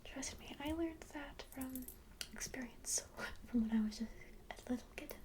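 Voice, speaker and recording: Kindly kit spellcaster played by a woman, high-pitched and whispered, gentle, rambling, welcoming, for fantasy ASMR roleplay. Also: moderate pace, close to an everyday voice. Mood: gently cautioning. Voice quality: soft, breathy whisper. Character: kindly, chatty cat-like kit spellcaster, musical healer.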